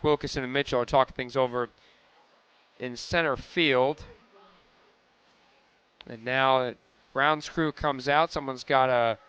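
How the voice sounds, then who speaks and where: Male sports play-by-play announcer, radio commentary, forceful tone, smooth and crisp. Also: medium pitch, no room sound, poor audio.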